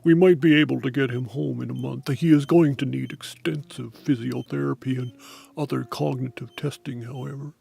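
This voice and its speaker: A man playing a formal, somewhat hesitant hospital doctor in an amateur audio drama, with a gravelly, husky voice. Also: medium pitch, fairly even intonation, darkish tone. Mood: clinical. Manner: formal, clinical, halting.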